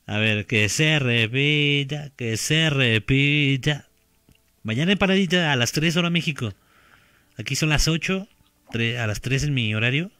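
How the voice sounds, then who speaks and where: A man's voice: mid-range voice, sharp tone, no room sound, clean studio sound. Streamer guy in his 30s, casual talky gaming chat, headset mic, repeats himself a lot. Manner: chatty, repeats words, laughs often.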